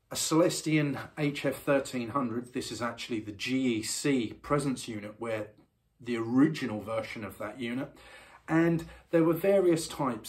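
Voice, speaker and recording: A man in his forties talking casually and knowledgeably as a hi-fi reviewer, filmed in his living room. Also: medium pitch. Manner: knowledgeable, chatty, expressive hand gestures.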